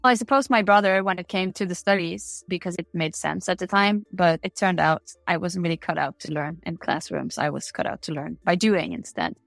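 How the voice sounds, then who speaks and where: A woman's voice: lowish voice, fairly quick, creaky, few pauses, no room sound, soft background music. A woman from Norway with a Norwegian accent, answering interview questions in a candid, chatty way. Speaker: interview guest.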